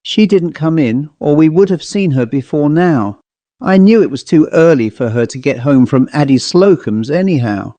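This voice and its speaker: Male narrator as cold country housewife, defiant, curt, rustic phrasing, lifted pitch, storybook character. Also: animated, moderate pace, dark tone, dry recording, close to an everyday voice. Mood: flat denial. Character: hard, curt, defiant rural New England housewife.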